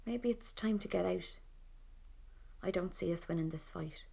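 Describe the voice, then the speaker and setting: An actress with an Irish accent playing a young, war-weary rebel woman in an audio drama, in a colloquial, expressive first-person style. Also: lowish voice, moderate pace, creaky, breathy edge, heavily acted. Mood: weary, resigned.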